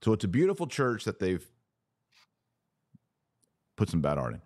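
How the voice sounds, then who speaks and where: A man's voice: on the low side, huge pitch swings, moderate pace, a little mellow, no room sound, clean studio sound. A man hosting a podcast in casual, conversational commentary with a blunt, opinionated way of talking. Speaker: podcast host.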